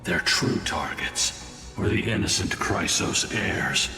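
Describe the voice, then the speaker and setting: A man narrating a game's history in a grave, measured way. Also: lively intonation, pushed and bright. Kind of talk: solemn scripted game narration. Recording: deep echoing reverb under game music.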